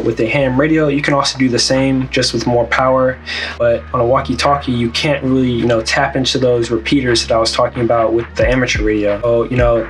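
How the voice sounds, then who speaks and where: A man hosting an online video, explaining gear in a casual, conversational way. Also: mid-range voice. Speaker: YouTube host. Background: background music.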